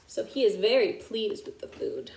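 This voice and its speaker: A woman hosting children's story time, reading a picture book aloud and explaining it simply in an animated way. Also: mid-range voice, moderate pace, vocal fry, a little hiss or hum. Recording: echoey room.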